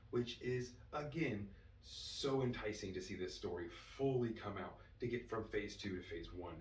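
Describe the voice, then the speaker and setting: A man records an excited fan review from some way off the mic in a roomy space, with faint noise underneath. His voice is medium in pitch, and he leans hard on key words, stretching them out for emphasis. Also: recorded from a distance. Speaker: reviewer and host. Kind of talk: enthusiastic fan review monologue.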